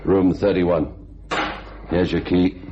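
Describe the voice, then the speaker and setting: An actor playing a curt, jaded young hotel night receptionist, blunt and hard-boiled in a radio noir style. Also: male voice, deep voice, fairly even intonation, slow, noisy background. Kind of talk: radio noir acting and narration. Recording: dull old radio recording with music.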